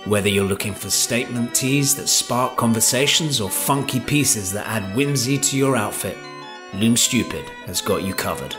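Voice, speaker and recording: A male announcer delivers a slick, upbeat commercial script. A clear music track plays underneath him the whole time. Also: mid-range voice, animated, moderate pace. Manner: upbeat, polished promo delivery. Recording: music bed behind.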